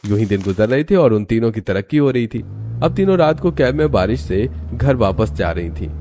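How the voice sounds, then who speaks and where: A man narrates a scripted family story for a cartoon. His voice is mellow and relaxed, at an average male pitch. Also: a little fast.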